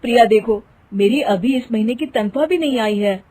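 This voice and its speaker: A woman's voice: on the high side, moderate pace, dark tone, husky. A woman voicing a patient, gullible young husband in a moral story, exasperated but soothing and indulgent. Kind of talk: acted Hindi moral-story dialogue.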